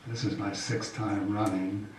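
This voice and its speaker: An older man gives a folksy campaign speech, pausing often on fillers. His voice sits low and comes from a distance. The hall is very echoey, with a faint hiss behind it. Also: little pitch movement. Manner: halting, folksy, many fillers. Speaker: incumbent county supervisor.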